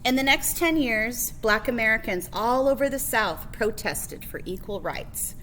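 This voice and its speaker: A woman's voice: on the high side, expressive, unhurried, sharp tone, a little hiss or hum, low-quality recording. A woman reading a children's picture book biography aloud as a librarian, phrase by phrase with emphasis on key words.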